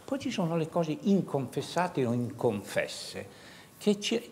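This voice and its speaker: A man's voice: fairly high pitch, sing-song, measured pace, a little mellow, a little hiss or hum. A man, moderating a studio debate as host. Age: 70s. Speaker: talk show host.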